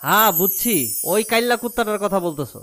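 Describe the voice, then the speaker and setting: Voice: mid-range voice, lively intonation, fast, fairly bright tone, lightly acted. A performer voicing a teasing, boastful grown-up who explains things in a comic cartoon. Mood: teasing, explaining. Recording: clearly noisy with clicks.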